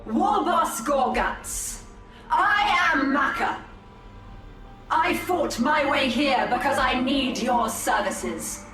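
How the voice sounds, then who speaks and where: A proud female space-elf seer from a video game, speaking slowly and formally. Her cool, commanding voice echoes from a little way off over music. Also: high voice, expressive. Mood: proud, persuasive. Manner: deliberate, formal, imperious. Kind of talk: video game character acting. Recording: echoey, distant, music behind.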